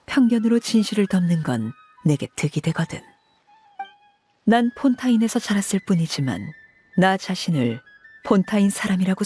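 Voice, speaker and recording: A woman voicing a cool, composed matriarch in an anime game, speaking in a measured, formal and aloof manner. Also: mid-range voice, darkish tone, breathy edge. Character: cool, commanding woman, composed head of an orphanage family.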